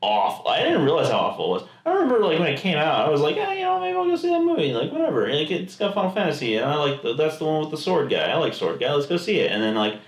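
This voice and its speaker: A man's voice: a little high, huge pitch swings, rapid-fire, few pauses. A man co-hosting a podcast, chatting casually and comedically about movies in a rambling, jokingly sarcastic way. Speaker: podcast co-host. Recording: echoey room.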